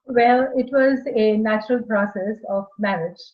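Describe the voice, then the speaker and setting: Indian-accented woman on a video call. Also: medium pitch. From India (Maharashtra). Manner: measured, explanatory, slightly halting. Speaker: guest architect. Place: small room indoors. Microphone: earphone mic on video call. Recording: slightly dull video call audio.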